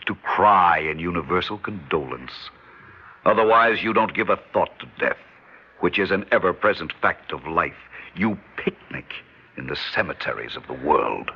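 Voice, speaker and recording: An actor playing a mysterious, solemn truck driver who speaks of death in a 1950s radio drama. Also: male voice, husky, exaggerated voice. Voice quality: deep, calm, solemn and cryptic. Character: ominous mysterious male trucker, death-like stranger. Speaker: stranger at a diner. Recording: dull old radio recording with faint hiss.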